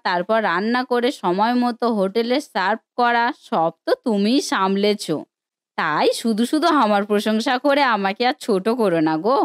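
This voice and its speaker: A woman's voice: mid-range voice, dry recording, studio quality, lightly acted. A woman voicing an affectionate, earnest village wife who reassures her husband in a cartoon story. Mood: affectionate, humble. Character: supportive, encouraging village wife of a biryani cook. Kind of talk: Bengali cartoon story voice acting.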